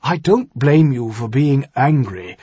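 A man's voice: medium pitch, very animated, measured pace, mellow, husky, no room sound, muffled recording. A man voicing an earnest, exasperated older earl and worried father-in-law in an audiobook, urgent and insistent. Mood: careful, reluctant. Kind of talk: audiobook character dialogue.

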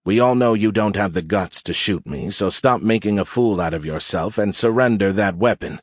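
A hulking alien warrior sergeant played by a male narrator speaks in a lowish register. He is a seasoned storybook fighter, sneering and fearless, taunting with calm control. The recording is dry and close. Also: normal speed, dry recording, natural voice. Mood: calm, menacing. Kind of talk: audiobook character acting.

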